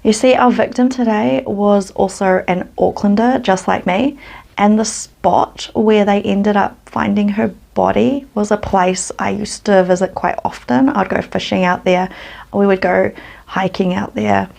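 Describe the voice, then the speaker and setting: A woman's voice: medium pitch, fairly even intonation, a little slow, gravelly, a little mellow. A woman from New Zealand with a New Zealand accent, hosting her true crime channel and telling the story in a casual, earnest way.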